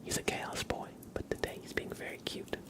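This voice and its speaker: A man hosting an ASMR livestream, speaking gently and unhurriedly in a breathy whisper with some gravel in it. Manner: soft-spoken, gentle, unhurried. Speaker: ASMR live streamer and host.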